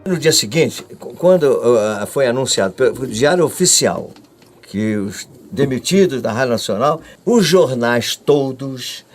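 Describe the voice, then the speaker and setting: A man's voice: medium pitch, animated, unhurried. An older Brazilian man giving conversational testimony of his own past, emphatic, often repeating and restarting his words.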